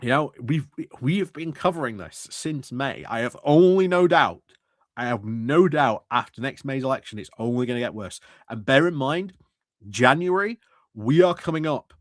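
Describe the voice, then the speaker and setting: A male commentator with a medium-pitched voice, recorded close and dry. He talks casually about politics as a host, leaning on key words and repeating himself for emphasis. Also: dry recording. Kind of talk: casual political commentary over a played clip.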